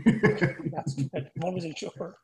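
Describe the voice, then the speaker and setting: Chatty podcast host man, gravelly. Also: a little high, extremely slow, mellow, slight background noise. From United States. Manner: chatty, loose questioning with fillers. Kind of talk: casual interview.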